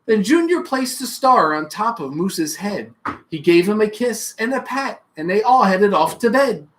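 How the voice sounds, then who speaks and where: A host reading children's bedtime stories in a playful, expressive way, with casual asides. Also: medium pitch, unhurried, slight background noise.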